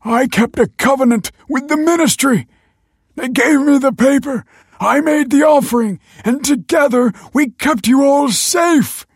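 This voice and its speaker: Frantic, high-strained old father. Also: measured pace, no room sound, studio quality. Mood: indignant, angry. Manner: desperate, indignant shouting. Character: agitated, paranoid old father just out of prison.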